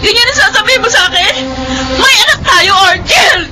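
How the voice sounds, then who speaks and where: A young woman in a classic radio drama, very high-pitched and piercing, shouting and sobbing in quick bursts. The old radio recording carries a faint noise. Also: expressive, a faint crowd, heavily acted, rough recording. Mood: tearful fury. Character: young woman, furious and hurt partner.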